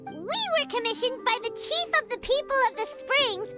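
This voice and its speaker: A tiny, chatty fairy sidekick from a video game. The voice is squeaky and childlike, pitched extremely high. It swoops with indignant excitement over clearly audible music. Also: female voice, moderate pace. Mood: puzzled. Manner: excitable, refers to self in third person.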